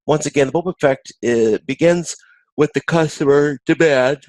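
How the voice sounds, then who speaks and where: A man giving an informal online lecture as an instructor, explaining in a halting way and often rephrasing himself. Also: on the high side, a little mellow, no room sound. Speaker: course instructor. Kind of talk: informal recorded video-conference lecture with slides.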